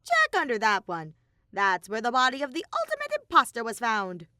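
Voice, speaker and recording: A bear mascot villain from an audio story, high-pitched and theatrical. The delivery is gleeful and flippant, with big animated swoops and a callous cheer. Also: female voice, moderate pace, no room sound, clean studio sound, full character voice. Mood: brisk, flippant.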